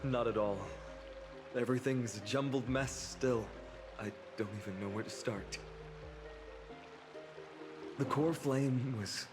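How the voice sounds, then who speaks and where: A man playing a restless, earnest young fantasy hero in a video game, speaking softly, politely and reflectively. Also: mid-range voice, natural voice. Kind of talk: video game character dialogue. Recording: hall reverb, clear music behind.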